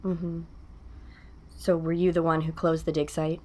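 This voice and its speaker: An actress playing an attentive female interviewer, gently probing in a documentary-style audio drama. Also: low-pitched, level tone, a little slow.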